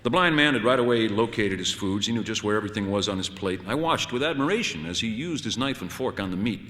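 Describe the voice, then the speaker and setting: A man's voice: on the low side, expressive, fast talker, a bit bright. A man narrating a first-person short story in a wry, conversational, dramatic way. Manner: wry, conversational, dramatic delivery with shifts into dialogue. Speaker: audiobook narrator. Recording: long hall-like reverb.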